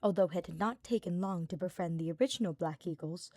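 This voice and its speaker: Low-pitched woman narrating fiction, very dry sound. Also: fast, mellow, dry recording. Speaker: podfic reader. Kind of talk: scripted narration of a fantasy story.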